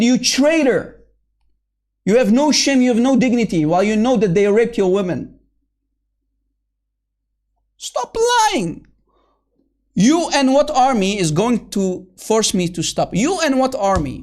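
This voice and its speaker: Apologist host, man with a high voice, combative and scornful, plays to his online viewers from his computer. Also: a little mellow. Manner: heated, scornful, calls opponents names; repeatedly addresses viewers as guys and brother. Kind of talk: combative online debate commentary.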